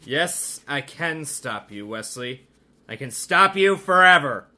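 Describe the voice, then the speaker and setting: A man's voice: on the high side, expressive, takes it slowly, bright, forceful tone, heavily acted. A man playing a domineering criminal doctor, theatrical and menacing, in a radio mystery.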